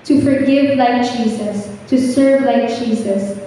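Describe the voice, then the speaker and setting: A woman, a camp counselor giving an earnest, measured testimony that encourages the church. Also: on the high side, mellow, a little hiss or hum. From Philippines. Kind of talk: prepared church testimony with exhortation. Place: large church hall. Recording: echoey hall, mic from far off.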